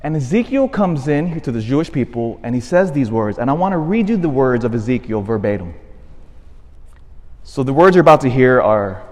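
A man, a priest, giving a homily with a storytelling manner, dropping to a hush and rising to animated emphasis. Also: medium pitch, normal speed. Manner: storytelling, swings from hushed to animated. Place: church. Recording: long reverb of a church.

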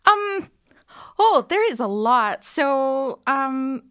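A woman's voice: sits high, very animated, very slow, fairly bright tone. A woman, a playwright and actor answering interview questions hesitantly, with many ums. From Singapore. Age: 30s.

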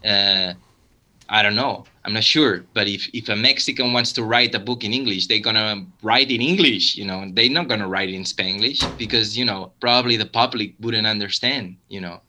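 A man from Catalonia speaking non-native English with a Catalan accent, halting and searching in a casual literary discussion. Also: lowish voice, pushed and bright. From Catalonia, Spain.

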